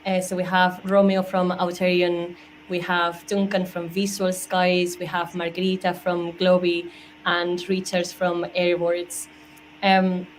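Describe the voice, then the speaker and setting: A woman moderating an online panel, hesitant, with many fillers. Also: on the low side. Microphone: video call. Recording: slightly noisy video-call audio.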